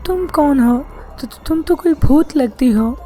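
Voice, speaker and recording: A woman's voice: sits high, expressive, a little slow, mellow, soft background music. A woman doing a sulky little boy. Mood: frightened. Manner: stammering when scared. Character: sulky young boy who ran away.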